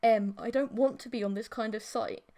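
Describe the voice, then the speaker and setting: Woman as an earnest, exasperated boyfriend, highish voice. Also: steady tone, normal speed, mellow, lightly acted. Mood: earnest, indignant. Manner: earnest, protesting. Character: exasperated boyfriend, earnest, easily swayed young man. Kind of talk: audiobook character voice.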